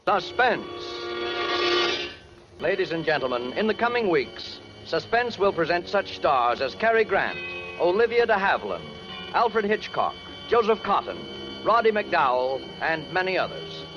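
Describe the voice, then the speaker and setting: A man's voice: high-pitched, big pitch swings, unhurried. An American man announcing a radio thriller series in a formal, dramatic 1940s style. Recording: dull old radio recording, music behind.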